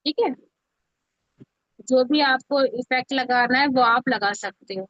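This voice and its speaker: A woman's voice: high voice, unhurried, a bit bright, no room sound. A woman instructor giving an informal step-by-step tutorial, often checking that listeners are following along. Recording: dull, lo-fi, dry close audio.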